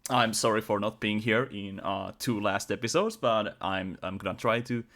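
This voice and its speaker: A man's voice: on the low side, moderate pace, a bit bright. A man in his twenties in a casual chat, teasing and playful. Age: 20s. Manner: teasing and playful, easily surprised. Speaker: podcast co-host.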